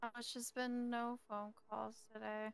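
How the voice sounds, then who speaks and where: A woman plays a suspect in an improvised game role-play. Her voice is high and beautifully clear, with a flat, unhurried delivery. She stays deadpan and nonchalant as the confessed criminal. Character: self-confessed male criminal, cheerfully accepting blame. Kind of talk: improvised in-character game dialogue.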